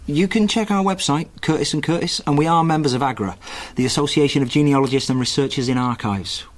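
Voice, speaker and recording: An actor playing an earnest, persistent family-history researcher in a radio drama, with a persuasive manner. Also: male voice, fairly high pitch, fast, close to an everyday voice. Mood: businesslike.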